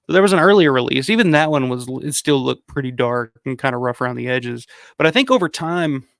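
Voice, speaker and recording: A man hosting a casual livestream, hoarse from a cold, rambling and swearing as he reads viewer comments. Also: mid-range voice, brisk, fairly bright tone, no echo, clean studio sound. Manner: rambling, profane, frequent restarts. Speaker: livestream host.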